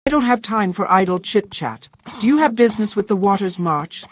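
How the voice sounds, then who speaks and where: A woman voicing a proud, brusque dark elf military commander in a fantasy game, stern, formal and impatient. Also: medium pitch, expressive, fairly quick, no room sound, lightly acted. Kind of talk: scripted fantasy game dialogue.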